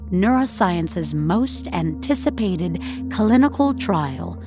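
A woman narrating a dramatic audio story in a measured, deliberate, literary way. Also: on the low side. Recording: studio narration over faint music.

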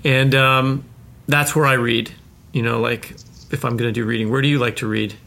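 A man's voice: medium pitch, steady tone, moderate pace, vocal fry, pushed and bright. A man co-hosting a podcast, interviewing in an informal, enthusiastic, rambling way. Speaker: podcast co-host. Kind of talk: casual, chatty interviewing.

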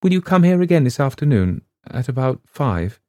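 A man voicing a frightened, trembling young woman who speaks in breathless, hurried pleas. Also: medium pitch, very animated, mellow, no room sound, pitched above the performer's own voice, lightly acted. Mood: pleading.

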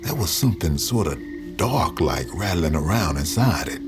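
An actor playing a folksy Southern man who recalls dark events in a halting, drawn-out storytelling manner for an audio drama. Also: low-pitched, very animated, over music. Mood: haunted, wondering. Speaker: narrator.

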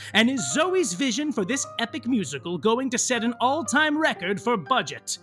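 A man's voice: very high-pitched, sharp tone, exaggerated voice. A man playing a mock-grandiose, tongue-in-cheek narrator of an audio soap opera, delivering scripted narration theatrically. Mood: sardonic. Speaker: narrator reading recap and credits.

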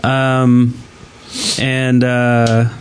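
A man's voice: mid-range voice, completely flat delivery, drawn-out words, clean tone. Easygoing man chatting on a gaming podcast. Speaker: podcast host. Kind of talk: casual gaming podcast chat.

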